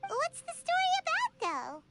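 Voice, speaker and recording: Very high, squeaky little fairy girl. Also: wildly swooping pitch, unhurried, full character voice. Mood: curious. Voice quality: squeaky, childlike. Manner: eager, refers to herself by name. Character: tiny cheerful childlike fairy companion, girl, excitable. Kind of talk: video game voice acting. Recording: loud background music.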